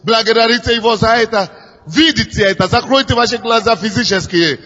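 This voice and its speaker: Man, fervent pastor, high voice, dull old recording in a reverberant church hall. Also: a little fast. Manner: fervent, builds to shouts, repeats phrases. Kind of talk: sermon leading prayer.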